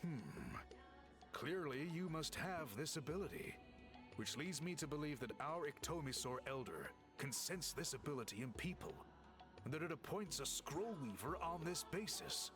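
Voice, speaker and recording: A composed scholarly researcher from a video game. He explains in formal, courteous phrases with an earnest air and lively rise and fall. Music sits behind the voice. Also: a little high. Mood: thoughtful. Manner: formal, measured explanatory delivery.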